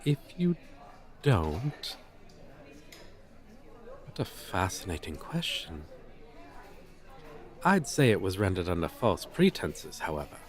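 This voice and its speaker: Man playing a slow, pompous, flirtatious dragon teacher. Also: low voice, big pitch swings, exaggerated voice. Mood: flirtatious, teasing. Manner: florid, formal, condescending, deliberate. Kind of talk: ASMR roleplay, slow theatrical delivery.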